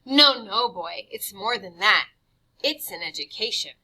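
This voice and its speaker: A woman's voice: mid-range voice, lively intonation, measured pace, pushed and bright, heavily acted, low-quality recording. A woman voicing a sly, wiry creature who coaxes and hisses with menace. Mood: coaxing menace. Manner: hissing, coaxing, chanting.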